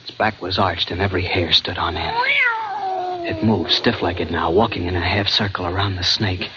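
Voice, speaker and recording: An actor playing a haunted young adventurer in an old radio thriller, with dramatic, suspenseful, deliberate delivery. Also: male voice, low voice, fairly even intonation, very noisy, close to an everyday voice. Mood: mounting dread. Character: haunted, guilt-ridden young adventurer narrating his terror at sea. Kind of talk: 1940s-50s radio drama narration and acting. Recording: dull vintage radio recording with prominent music.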